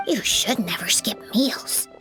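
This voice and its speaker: An actress playing a friendly, caring young female video game character with a gentle, considerate manner. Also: medium pitch, expressive, takes it slowly, faint music behind. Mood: friendly, curious.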